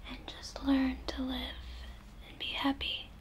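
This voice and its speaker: A young woman in a whispery, breathy voice, gently and haltingly guiding the listener through an ASMR role play. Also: high voice, completely flat delivery, poor audio. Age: young adult.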